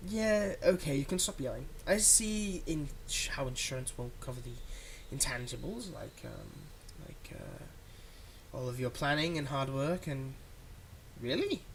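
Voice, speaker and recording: A performer acting out a dramatic supervillain whose medium-pitched voice swings widely between threat and soothing. The villain is theatrical and exasperated, with a soft heart under the menace, in an audio roleplay with noticeable background noise. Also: wildly swooping pitch, a little slow, vocal fry, heavily acted. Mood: uneasy, guilty. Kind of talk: ASMR audio roleplay, one-sided dialogue to the listener.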